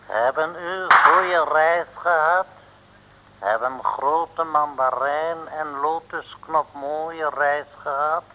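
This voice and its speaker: Slow, polite, menacing henchman. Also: a little high, muffled recording. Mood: courteous. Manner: broken pidgin Dutch, formally courteous. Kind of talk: 1960s radio serial acting. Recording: noisy old radio recording.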